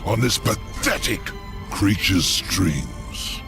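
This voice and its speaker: An actor playing a scheming ancient male demon lord, slow and menacing, in a video game cutscene with loud music. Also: sits low, very animated, heavily acted. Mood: commanding. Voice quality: gravelly. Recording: game audio with loud score.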